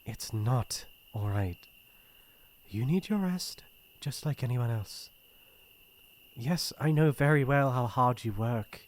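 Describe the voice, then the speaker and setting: A man with a British accent playing a shy, earnest young crown prince in an ASMR roleplay, speaking in formal, hesitant, gentle phrases. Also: mid-range voice, expressive, slow pace. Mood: tender concern. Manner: formal courtly phrasing, hesitant and soft-hearted.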